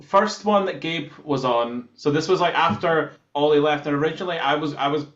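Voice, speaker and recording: In a noticeably echoey, slightly dull recording, a man from Scotland chats as a band musician. His voice is a bit high for a man, and he explains things in a loose, informal way. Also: fairly quick, fairly bright tone. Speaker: band musician being interviewed. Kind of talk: casual chat, telling how a song was written.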